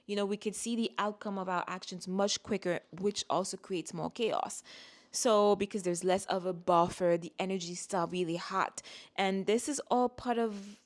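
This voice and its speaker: A woman's voice: medium pitch, fairly even intonation, normal speed. A woman whose first language is French, giving a casual spiritual talk as an astrologer, rambling and repeating words as she reassures her viewers.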